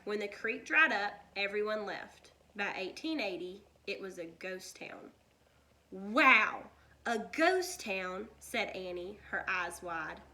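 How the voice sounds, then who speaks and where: A woman reading a children's story aloud like a teacher, with deliberate, expressive delivery. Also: mid-range voice.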